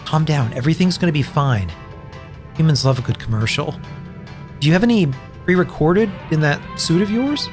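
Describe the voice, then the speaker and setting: A man's voice: on the high side, expressive, moderate pace, faint music behind, close to an everyday voice. A man playing a wry, scheming captive in a comic fiction podcast, talking plainly and urgently. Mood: conspiring, determined. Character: wry scheming captive forced to play Cody the Cow.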